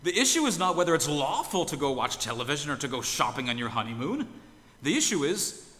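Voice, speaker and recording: A man voicing an exasperated pastor who scolds a newlywed couple in a loud, incredulous, pleading way. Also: a little high, big pitch swings, fairly quick, big-room sound, voice pushed up.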